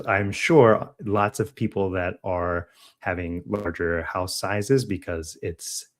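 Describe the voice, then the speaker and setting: An American man co-hosting a podcast, casually talking through news in a halting way, correcting himself as he thinks aloud. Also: deep voice. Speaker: podcast co-host.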